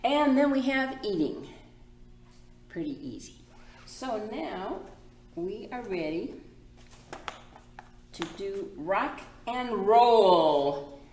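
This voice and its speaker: A woman's voice: mid-range voice, animated, drawn-out words, long pauses, darkish tone. A woman hosting a children's storytime as a librarian, presenting and reading aloud in simple, demonstrative phrases for small children. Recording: echoey room.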